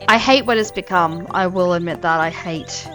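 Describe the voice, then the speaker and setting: A woman's voice: on the low side, moderate pace, over music. An Australian woman in her thirties, chatting casually and earnestly over her gameplay, often trailing off mid-sentence. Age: 30s. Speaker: gaming channel host.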